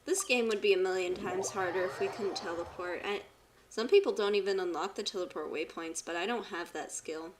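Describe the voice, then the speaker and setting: A woman giving casual live gameplay commentary, expressive and repeating phrases when she gets flustered. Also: mid-range voice, a little fast, slight background noise. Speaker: streamer. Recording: loud game music behind the voice. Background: video game music and effects.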